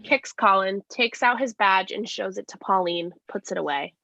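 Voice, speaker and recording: A woman's voice: mid-range voice, normal speed, vocal fry, bright, forceful tone, dry recording. A woman reading scripted stage directions as narration, plainly and step by step, with slight stumbles. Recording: dry, slightly dull lo-fi sound.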